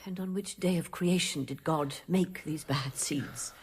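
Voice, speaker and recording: A woman playing a pious, accusing nun with false humility in a radio drama, insinuating in a breathy, creaky voice. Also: sits low, unhurried, a little hiss or hum. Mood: sly, disapproving. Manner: insinuating, pointed.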